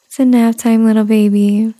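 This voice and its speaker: A woman in a soft-spoken roleplay, whispering and cooing in a soothing way. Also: on the high side, little pitch movement, moderate pace. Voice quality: whispery, breathy. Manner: cooing, soothing, talks as to an infant. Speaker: roleplay performer.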